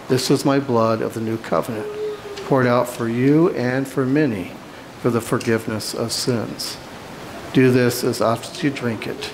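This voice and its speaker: A man leads a communion prayer at a steady, middle pitch. He reads in a measured, solemn way, with a faint hiss behind him. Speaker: pastor. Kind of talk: reading a communion liturgy. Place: church sanctuary.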